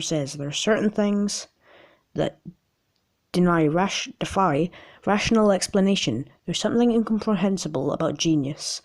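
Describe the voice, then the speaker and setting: A woman with a raspy voice in an amateur, casual video, rambling and reading aloud in a jokey, self-deprecating way with stumbles. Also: on the low side, darkish tone. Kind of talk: casual rambling vlog with articles read aloud.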